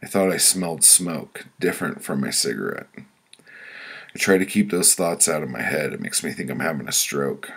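A man with a rough, gravelly voice reading his own crude, profane comic stories in a casual, blunt first-person way. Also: very deep voice, animated, normal speed, a bit bright. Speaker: author reading their own audiobook.

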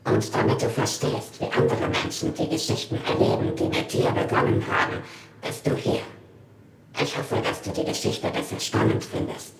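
A man's voice: mid-range voice, normal speed, music in the background, lightly acted. A man playing an anonymous captor in a horror audio drama, taunting with sinister politeness in a whispery, toneless voice. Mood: taunting menace. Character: menacing anonymous captor, slow, taunting tormentor. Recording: echoey room, faint background noise.

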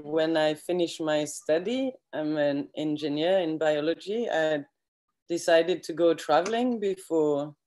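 French woman on a video call. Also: low-pitched. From France. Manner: relaxed, friendly, halting with fillers. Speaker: guest, eco project manager. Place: home kitchen indoors. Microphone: laptop webcam on video call.

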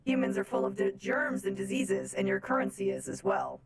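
A woman's voice: rumbling low, wildly swooping pitch, moderate pace, background noise, pitched below the performer's own voice, exaggerated voice. A woman voicing a stilted, literal-minded female robot from space in improvised game roleplay, with formal robotic phrasing. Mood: stilted, haughty.

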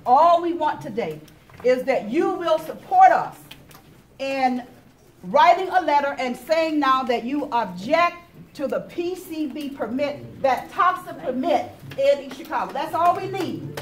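High-voiced, insistent woman moderating. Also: lively intonation, measured pace, roomy sound. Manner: insistent, emphatic repetition. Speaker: meeting moderator. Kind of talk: public address to an official. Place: public library meeting room, indoors. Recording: distant camera mic, faint room noise.

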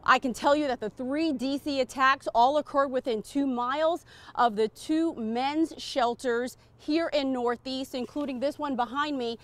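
A woman's voice: high-pitched, steady tone, a little slow, dry recording. An American woman, a TV news reporter, giving a report outdoors on a city street. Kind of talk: news report, live and scripted. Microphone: clip-on lapel mic.

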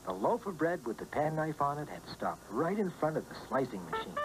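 Expressive man narrating a storybook. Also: a little high, brisk, noisy background.

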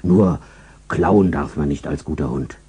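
A man voicing a gentle, greedy male dog who murmurs to himself in a storybook reading. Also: rumbling low, a little slow, slightly rough, voice pushed down, exaggerated voice. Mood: hushed.

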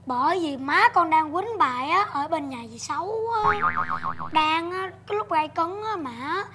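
A woman's voice: extremely high pitch, animated, normal speed, bright, forceful tone, full character voice. A woman playing a cheeky, knowing little child who tattles in a comedy sketch, with a southern Vietnamese accent. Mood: warning.

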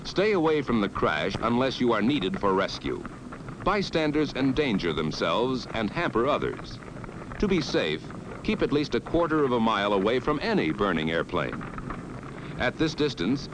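An American man narrates in the style of a mid-century military instructional film. His voice is on the low side and moves widely in pitch. He delivers the script with measured authority and firm emphasis. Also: background noise.

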